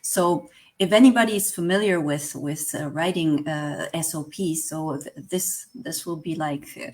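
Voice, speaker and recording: A woman's voice: sits low, measured pace, darkish tone. A Spanish woman in her forties, speaking English with a Spanish accent as an interview guest, hesitant and searching for words with many fillers. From Spain. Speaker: guest, online business manager. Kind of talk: casual interview chat.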